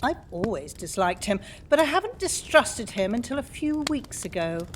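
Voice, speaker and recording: Woman playing a high-voiced, outspoken Victorian lady. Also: very animated, moderate pace. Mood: forthright. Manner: emphatic, forthright. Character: brisk, touchy, outspoken Victorian young lady. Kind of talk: audio theatre acting. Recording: faint background noise.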